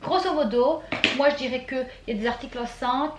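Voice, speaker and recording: High-voiced, playful young woman vlogger, roomy sound. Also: a little fast. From Belgium. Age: 20s. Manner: animated, teasing, playful. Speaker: vlogger presenting a clothing haul. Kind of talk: casual banter to camera. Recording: roomy echo, faint background noise.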